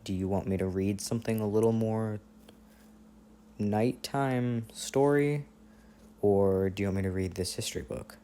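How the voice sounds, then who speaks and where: A man playing an affectionate, playful boyfriend on a long-distance phone call, in intimate, casual ASMR roleplay with gentle teasing and hesitant pauses. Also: on the low side, slight background noise, close to an everyday voice. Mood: tender, teasing. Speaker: audio roleplay performer.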